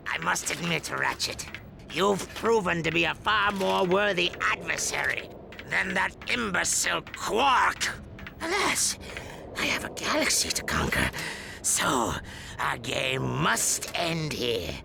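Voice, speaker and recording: A maniacal robot villain, declaims pompously in a cartoon game. The delivery is very slow and gravelly, swinging wildly in pitch between gloating and shouting. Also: male voice, very animated, noisy background, full character voice. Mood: mock regretful gloating.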